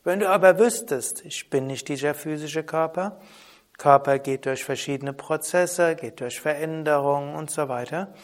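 A man teaching a spoken lesson on yoga philosophy in a calm, measured way, often asking a soft "hm?". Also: fairly high pitch. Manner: calm, measured, with frequent soft trailing drops and questioning "hm?". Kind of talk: spoken lesson on Vedanta terms.

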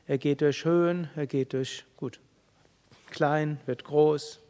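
Calm man teaching in a reverberant hall. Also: on the high side. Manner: calm, measured, with pauses and hums. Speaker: spiritual teacher. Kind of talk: spiritual talk to a live audience.

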